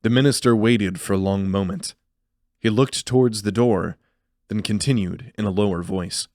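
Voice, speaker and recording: Low-voiced man narrating dark fantasy. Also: moderate pace, no room sound, studio quality. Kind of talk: scripted dramatic fantasy narration.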